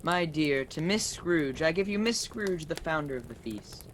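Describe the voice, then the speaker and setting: A woman playing a gentle, charitable poor father and clerk, earnest in radio play style. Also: low voice, expressive, moderate pace. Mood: earnest, hopeful. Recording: faintly noisy home recording.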